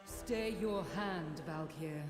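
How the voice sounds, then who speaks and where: Slow, commanding dragon leader. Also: female voice, lowish voice, over music. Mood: proud, resolute. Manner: slow, grave, declamatory. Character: proud, defiant female dragon leader, stern and commanding. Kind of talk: fantasy video game voice acting.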